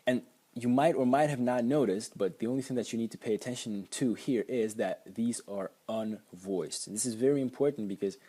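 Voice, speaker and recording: A man's voice: mid-range voice, brisk, dark tone, husky. A young man with a Slovak accent, a native Slovak speaker, explaining sounds in a casual lesson with frequent hesitations. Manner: hesitant, with many ums and restarts. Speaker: language teacher.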